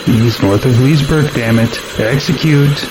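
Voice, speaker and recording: An actor playing a stern military air traffic controller in an audio drama, with a clipped, commanding manner. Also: male voice, mid-range voice, measured pace, lightly acted. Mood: hard, insistent. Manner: clipped, commanding radio procedure. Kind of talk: modern audio drama acting. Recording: radio chatter with clear background noise.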